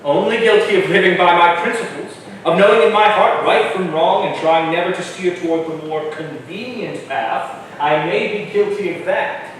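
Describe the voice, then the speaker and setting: A man's voice: high voice, moderate pace, few pauses, slight background noise, close to an everyday voice. A man playing a principled, earnest colonial father in a staged reading, recorded from far off in an echoey hall. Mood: gentle, earnest. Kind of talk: staged reading of a historical drama. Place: live stage in a reverberant hall.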